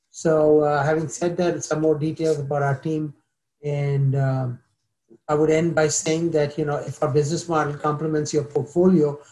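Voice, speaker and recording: A man, a startup founder, pitching his company to investors, halting with many fillers and restarts. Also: darkish tone, poor audio.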